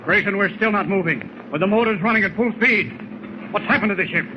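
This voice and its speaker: An American actor playing a cautious, grave submarine captain in a 1940s radio drama, giving orders in a clipped, commanding way. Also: male voice, high-pitched, quick pace, lightly acted. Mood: alarmed, urgent. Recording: noisy old 1940s radio recording.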